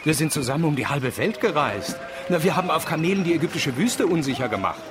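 A man's voice: fairly high pitch, lively intonation, fast talker, background noise, natural voice. A man playing a scornful, swaggering adventurer in a radio drama, speaking in a theatrical, mocking manner. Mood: insistent. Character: scornful, swaggering male adventurer out to expose rivals.

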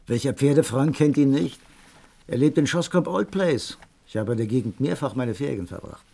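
A man playing a genial, well-informed gentleman, the detective's friend, in a German radio crime drama, speaking in a measured, wryly understated, conversational way. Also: mid-range voice, expressive, vocal fry. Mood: amused, chatty.

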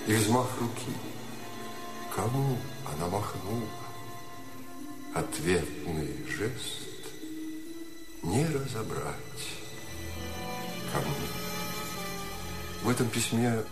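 An actor playing an enraptured, melancholy poet in a radio play, declaiming love letters solemnly and tenderly. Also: male voice, lowish voice. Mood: solemn farewell. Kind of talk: poetic radio play monologue.

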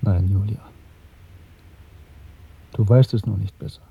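An actor playing an earnest party clerk with secret rebellion in him, speaking in a measured, insistent way in a radio drama. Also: male voice, on the low side, steady tone, vocal fry, breathy edge, dry recording, exaggerated voice. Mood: bitter, urgent. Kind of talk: German radio drama acting. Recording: muffled, dry 1970s radio drama recording.

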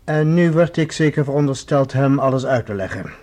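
A man playing an uneasy, indignant professor who collaborates with Martians in a Dutch science fiction radio drama, questioning and sharp-tongued. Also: medium pitch, animated, fairly quick, close to an everyday voice. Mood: wary, irritated.

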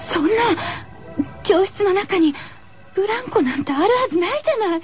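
Horror radio drama: female teacher, played by a woman, very high pitch, startled but composed, dull lo-fi recording. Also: lively intonation, moderate pace, darkish tone, soft background music. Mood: startled, uneasy. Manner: addresses her pupil in feminine, instructive speech.

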